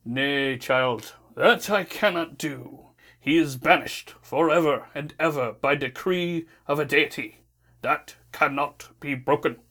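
A woman voicing a stern, commanding father god of light in a storybook, speaking slowly and solemnly. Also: fairly bright tone, exaggerated voice. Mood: grave. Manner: slow, solemn, booming delivery.

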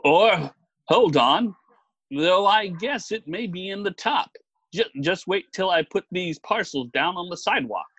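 A woman voicing a fussy, excitable man who blusters and mutters as he gets exasperated, in a storybook reading. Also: fairly low pitch, unhurried, no room sound, highs cut off, voice pushed down, full character voice. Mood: eager, flustered. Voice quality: husky, whining. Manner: blustering, muttering, oath-prone.

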